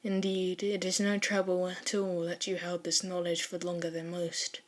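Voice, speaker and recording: A woman's voice: fairly low pitch, monotone, moderate pace, close to an everyday voice. A woman voicing a solemn teenage boy who speaks in grand, archaic, formal phrases in an audio fan story. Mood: solemn, appreciative.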